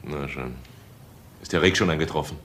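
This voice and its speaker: A man's voice: rumbling low, normal speed, fairly bright tone. An actor playing a stern, commanding male police superior in a German crime radio drama. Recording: radio drama with faint background noise.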